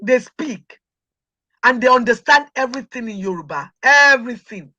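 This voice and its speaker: A woman's voice: fairly high pitch, normal speed, sharp tone, dull audio. A middle-aged Nigerian woman with a Nigerian accent, speaking as an interview guest, emphatic and proud, repeating words for stress. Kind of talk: interview conversation.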